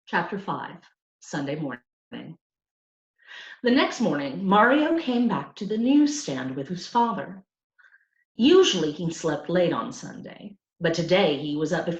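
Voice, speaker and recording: A woman reading a children's novel aloud as a storyteller, with an animated, deliberate delivery. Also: on the low side. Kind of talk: expressive scripted audiobook narration for children. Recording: roomy, dull lo-fi home recording.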